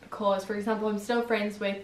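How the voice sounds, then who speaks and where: A woman's voice: medium pitch, little pitch movement, a little fast, a little hiss or hum. A young woman who grew up in Scotland chatting casually, thinking aloud and trailing off as she repeats words. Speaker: guest, host's sister. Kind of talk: casual chat. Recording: echoey room.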